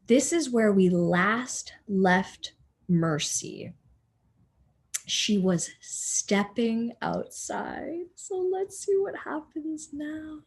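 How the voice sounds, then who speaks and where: A woman reads aloud to young children like a teacher. Her pace is slow and deliberate, her intonation lively and expressive, and her voice a touch husky. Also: a little high. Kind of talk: storybook read-aloud narration.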